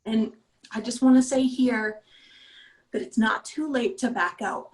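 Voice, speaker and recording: An actress plays a determined young woman running a crew of friends. The voice is a little high, with casual, earnest phrasing, as read at a script table read. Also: unhurried, natural voice, rough recording. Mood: earnest, resolute.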